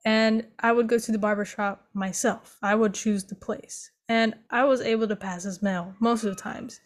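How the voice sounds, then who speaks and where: A young adult woman telling a personal story in a plain, steady, unhurried way. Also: fairly high pitch. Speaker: panel speaker.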